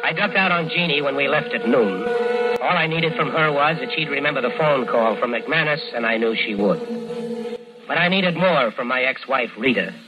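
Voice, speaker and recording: An actor in a 1950s radio drama playing a calm, persuasive schemer who narrates his own crime. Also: mid-range voice, normal speed, highs cut off, close to an everyday voice. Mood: cold. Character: smooth-talking scheming man, murderer narrating. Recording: old radio broadcast with music bed.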